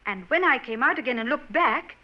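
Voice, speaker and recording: A woman's voice: high-pitched, expressive, fairly quick. An actress playing an earnest young Victorian woman in an old radio drama, talking in a hesitant, genteel way. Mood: worried. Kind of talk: 1940s radio acting. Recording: dull old radio broadcast.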